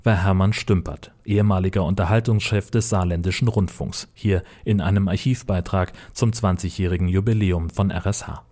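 A man's voice: sits low, fairly even intonation, a little fast, few pauses, warm tone. A man narrating a scripted report as a radio reporter.

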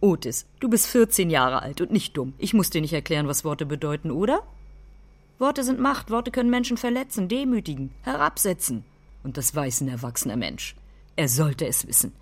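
A reproachful mother in a children's radio drama. Her voice is low and husky, and she lectures with indignant emphasis. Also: sing-song, normal speed, full character voice. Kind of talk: children's radio play acting.

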